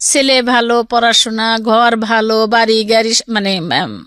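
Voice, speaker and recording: A woman's voice: on the high side, normal speed, clear, resonant tone, no room sound, studio quality. A middle-aged woman with a Bangladeshi accent telling her personal story in an interview, acting out what people said as she goes. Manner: animated, quotes people's words as she recounts.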